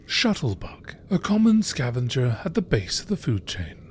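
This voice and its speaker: A man reading scripted narration in a nature documentary style, in a measured, informative manner. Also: mid-range voice, sing-song, a little hiss or hum.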